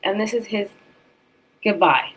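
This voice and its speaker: British woman in her 30s doing a casual livestream chat, mid pitch, rambles and repeats herself for emphasis. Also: animated, a little slow, fairly bright tone, breathy edge, low-quality recording. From South Africa and England. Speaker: tarot reader and streamer host.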